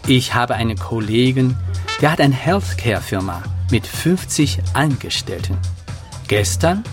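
A man's voice: medium pitch, expressive, measured pace, music in the background, lightly acted. A man playing a proud, confident Chinese manager in a documentary-style radio drama monologue. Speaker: business manager.

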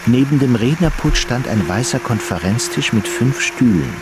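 A man giving the scripted narration of a crime radio play in a measured storytelling manner. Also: mid-range voice, expressive, over music.

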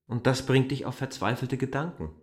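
A man's voice: medium pitch, moderate pace, husky, no echo, clean studio sound, natural voice. An actor playing a stubborn, brooding newcomer arguing with his lover in a German radio drama, subdued, intimate and insistent.

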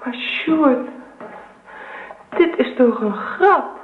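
Affectionate young wife, thin phone-like sound. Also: female voice, high-pitched, animated, very slow, full character voice. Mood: exasperated, protesting. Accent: Netherlands Dutch. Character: young pregnant wife, affectionate, practical, gently insistent. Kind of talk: radio play acting. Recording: roomy, tinny phone-like radio recording.

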